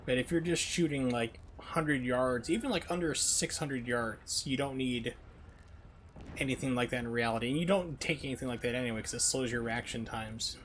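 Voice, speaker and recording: A man's voice: mid-range voice, moderate pace, slight background noise. A man streaming a video game with casual, chatty live commentary, explaining mechanics in asides.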